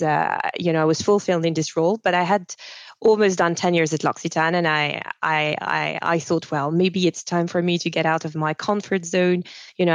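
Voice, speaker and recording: Woman, low dry voice. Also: dry recording. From Switzerland. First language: French. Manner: thoughtful, many fillers and restarts. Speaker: guest, managing director. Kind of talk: casual interview conversation.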